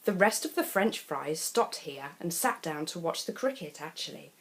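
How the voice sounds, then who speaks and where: A woman's voice: low-pitched, animated, normal speed, creaky. A woman tells a children's story expressively, with theatrical delivery and chatty asides to the listener. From England. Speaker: storyteller reading a children's picture book.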